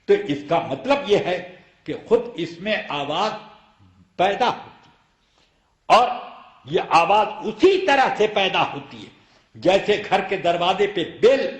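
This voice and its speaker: An echoey recording carries a man's high-pitched voice as he preaches. He speaks slowly, in the manner of an Islamic scholar explaining a ruling. His delivery is emphatic and argumentative, built on rhetorical questions. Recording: echoey room sound.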